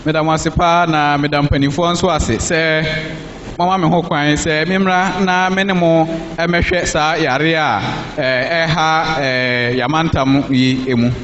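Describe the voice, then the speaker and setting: A man working as a live interpreter at a church talk, passing on each phrase quickly with "eh" fillers. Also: on the high side, steady tone, a bit bright. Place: church hall. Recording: echoey hall, dull lo-fi sound, faint hiss.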